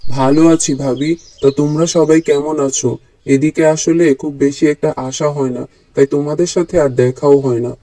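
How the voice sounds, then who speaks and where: A man playing a meek cartoon husband who forgets every errand, in a slightly raised, mellow voice. He talks in a hurried, fretful rush. A flustered, apologetic manner runs through it. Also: a little high, steady tone, no room sound, lightly acted. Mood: friendly. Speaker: cartoon voice actor. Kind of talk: cartoon voice acting.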